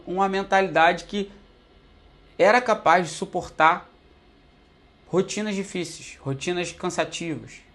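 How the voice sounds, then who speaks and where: Young Brazilian man telling his story. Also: a little high. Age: young adult. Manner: conversational, many fillers like "né" and "pô", addresses viewers directly. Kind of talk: casual personal storytelling to camera.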